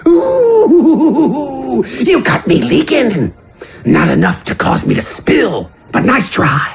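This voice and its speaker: A man voicing a gleeful, taunting jester villain in a fantasy audiobook, with a raspy, hissing, theatrical voice. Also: extremely high pitch, wildly swooping pitch, slow pace, soft background music, pitched above the performer's own voice, exaggerated voice. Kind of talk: audiobook character voice.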